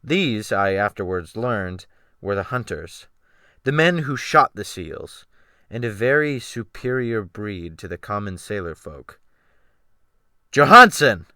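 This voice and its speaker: A man narrating a classic novel as a dramatic audiobook, with deliberate, expressive phrasing. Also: lowish voice, dry recording, studio quality. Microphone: close USB desktop microphone.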